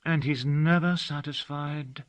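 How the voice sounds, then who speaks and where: A man voicing a calm, poised young girl who is really a turtle, speaking in a clear, warm, girlish way. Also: medium pitch, moderate pace, no echo, muffled recording, voice pushed up, lightly acted. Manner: serene, gentle, formal.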